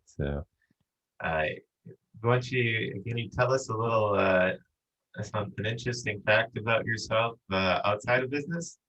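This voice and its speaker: Low-voiced male podcast host, casual. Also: moderate pace, fairly bright tone, low-quality recording. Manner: casual, filler-heavy questions. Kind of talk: interview hosting.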